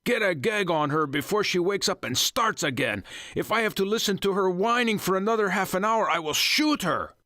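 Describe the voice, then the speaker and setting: A man's voice: sits high, lively intonation, normal speed, few pauses, no echo, pitched above the performer's own voice. A brutal, short-tempered middle-aged kidnapper boss, put on by a male narrator, barking threats in a strained, raised voice. The recording is dry and close, with no room sound. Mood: angry shouting. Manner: shouting, menacing threats.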